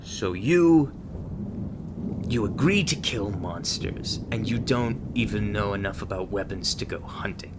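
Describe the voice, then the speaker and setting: A man playing a gruff, sarcastic, guarded monster hunter mentor in an ASMR audio roleplay. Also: fairly low pitch, unhurried, noisy background, close to an everyday voice. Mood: scornful, exasperated. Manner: foul-mouthed, sardonic, scornful.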